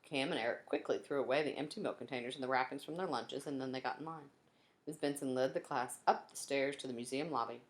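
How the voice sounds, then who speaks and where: A woman's voice: a bass voice, fast. A woman narrating a children's storybook aloud expressively, like a teacher reading to young pupils.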